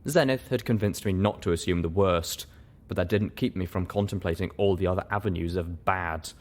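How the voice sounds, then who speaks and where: A man reading first-person story narration as an audiobook, in an expressive, dramatic way. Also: low-pitched, fairly quick. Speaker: audiobook reader.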